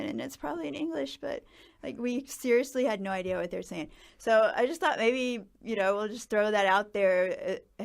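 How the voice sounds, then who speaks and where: Woman host, halting speech, many pauses, middle pitch. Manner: hesitant, lots of ums and restarts. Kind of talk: casual panel chat.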